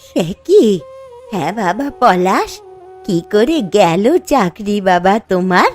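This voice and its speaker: A poor old mother-in-law, acted by a woman, frets over her household in a cartoon drama. She sounds plaintive and careworn, with soft music running underneath. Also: mid-range voice, sing-song, takes it slowly. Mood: anxious. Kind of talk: Bengali cartoon story dialogue.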